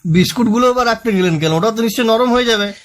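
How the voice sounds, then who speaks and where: A man's voice: high-pitched, very fast, lightly acted. A man playing a polite, puzzled electrical shop employee who questions a complaining customer in a comic phone skit. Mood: puzzled, amused.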